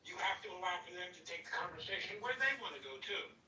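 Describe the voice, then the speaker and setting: A man's voice: high voice, pushed and bright. Man with fillers in noisy echoey room. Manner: measured, many fillers. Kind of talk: group discussion. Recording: echoey room, far from mic, clear noise.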